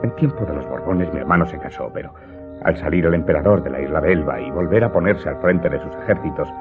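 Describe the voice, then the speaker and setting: A man's voice: very deep voice, quick pace. An actor playing an aggrieved servant earnestly telling his story to his master in a radio drama. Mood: earnest, grave recounting. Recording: muffled narrow-band audio drama recording. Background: loud music.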